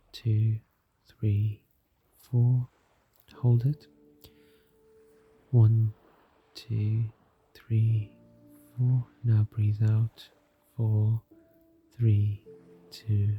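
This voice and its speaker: A man leads a guided meditation in a soft, soothing, scripted narration, calm and gentle, leaving long unhurried pauses. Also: on the low side, phone-quality sound, slight background noise. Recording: music behind the voice. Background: soft music.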